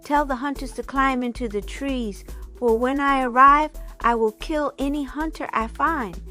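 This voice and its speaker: A prophetic old sorceress, raised and urgent as she gives her orders, as a female storyteller puts her on. Her voice sits noticeably higher than the teller's own and carries a commanding edge. Soft music plays faintly underneath. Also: normal speed.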